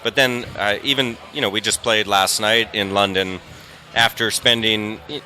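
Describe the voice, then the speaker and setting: A man's voice: on the low side, steady tone, normal speed, pushed and bright, a little hiss or hum, poor audio. A man in a rock band, a father, speaking earnestly and reflectively in a casual outdoor festival interview.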